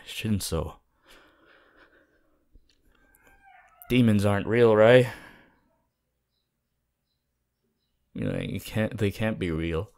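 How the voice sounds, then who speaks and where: A man playing a laid-back, teasing, sceptical teenage anime boy in an intimate, halting ASMR roleplay. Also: fairly low pitch, a little mellow. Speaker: voice actor.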